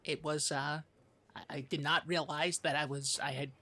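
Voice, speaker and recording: A man with a husky voice co-hosting a comedic podcast, improvising in a halting, stammering, mock-solemn way. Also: a little high, steady tone, bright, forceful tone. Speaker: podcast co-host.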